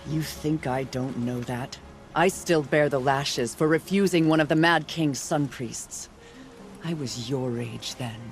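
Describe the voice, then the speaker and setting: Male actor as older game hunter, gruff, blunt, dismissive, faint music behind. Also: mid-range voice, measured pace, close to an everyday voice. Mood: grim.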